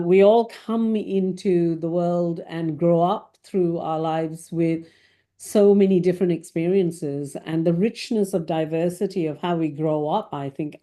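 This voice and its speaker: Mellow-voiced woman, reflective guest. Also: lowish voice. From Malaysia. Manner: thoughtful, tags points with "right?". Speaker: CIO guest. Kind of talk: reflective interview talk.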